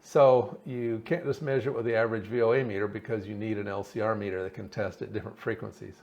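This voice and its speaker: A man with a fairly low voice presents a hobby electronics project in an informal, explanatory style. He speaks haltingly, as if working through his reasoning out loud. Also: fairly even intonation, gravelly, dull audio. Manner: halting, thinking aloud. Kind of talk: informal technical explanation over slides and diagrams.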